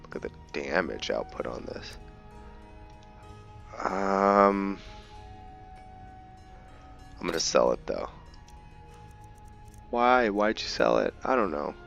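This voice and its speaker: A man narrating a roleplay game playthrough in a casual, halting way, stumbling over words, on a dull mic. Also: low voice, big pitch swings, creaky, fairly bright tone, soft background music. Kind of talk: casual gameplay commentary mixed with in-character journal reading.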